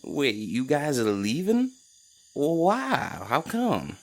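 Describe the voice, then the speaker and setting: A man voicing a small, excitable frog-like druid in an audio drama. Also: fairly high pitch, very slow, heavily acted. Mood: sad, pleading. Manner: folksy, colloquial, animated.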